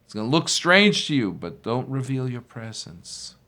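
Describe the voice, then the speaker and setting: A man as a calm, firm old master. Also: mid-range voice, normal speed. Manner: firm, instructive.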